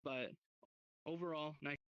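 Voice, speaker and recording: A man's voice: on the high side, fairly even intonation, unhurried, a bit bright, clean tone, no room sound, muffled recording. A man teaching an informal sign language class in a casual, rambling, slangy way over online voice chat. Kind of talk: informal lesson. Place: online virtual class hub.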